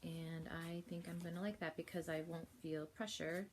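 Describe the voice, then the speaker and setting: A woman with a cold, sniffly, chatting casually through a planner unboxing at home while filming on her phone, rambling with fillers and soft laughs. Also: fairly low pitch, steady tone, moderate pace, a little hiss or hum. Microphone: phone held while filming.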